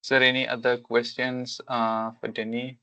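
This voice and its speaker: A man's voice: medium pitch, monotone, moderate pace, pushed and bright, dry recording. A man hosting a webinar Q&A on a video call, speaking hesitantly with many fillers. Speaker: webinar host.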